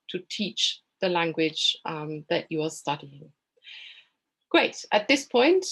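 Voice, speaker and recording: A German-accented woman teaching languages, giving a measured open-day presentation with many pauses and ums. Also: lowish voice.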